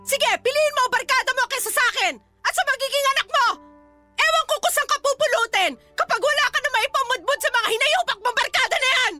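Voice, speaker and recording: Hurt, defiant young Filipino wife, extremely high voice, emotional and outspoken, radio drama. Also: female voice, fairly quick, bright, forceful tone, faint music behind. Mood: bitter, angry.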